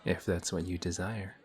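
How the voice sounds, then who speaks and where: An actor playing a courteous, formal villain who is sly and quietly taunting, in a one-sided audio roleplay. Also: male voice, deep voice, level tone, a little slow, a faint crowd. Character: suave, polite male villain in civilian clothes. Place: coffee shop scene with faint café chatter.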